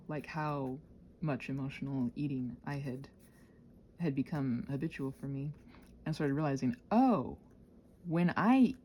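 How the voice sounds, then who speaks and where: Female, soft mellow tone with a slightly husky edge, highly varied intonation, playful rambling chat, self-deprecating. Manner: expressive, acting out her own thoughts. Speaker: ASMR and audio content creator.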